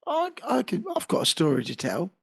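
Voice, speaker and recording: A man co-hosting a podcast, hoarse and husky from a cold, joking and self-deprecating in casual chat. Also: sits high, huge pitch swings, a little fast, fairly bright tone. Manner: jokey, self-deprecating banter. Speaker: podcast co-host.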